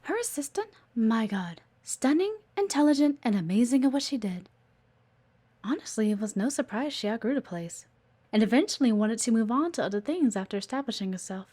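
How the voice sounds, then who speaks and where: Woman playing a coy, lilting, high-voiced office assistant. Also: animated, fairly quick, warm tone. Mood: fond, reflective. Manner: coy, teasing, chatty, with murmured asides. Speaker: voice actor in an audio roleplay. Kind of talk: intimate scripted audio roleplay, one-sided conversation with the listener.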